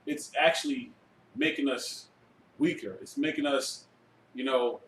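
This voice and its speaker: A man with a mid-pitched voice teaches a class in a conversational, preaching manner. He repeats phrases for emphasis and peppers his talk with tag phrases. The room is echoey, with faint noise behind him. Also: a little slow, fairly bright tone. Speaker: religious teacher leading a language class. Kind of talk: live informal lesson with exhortation. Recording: roomy echo, faint background noise.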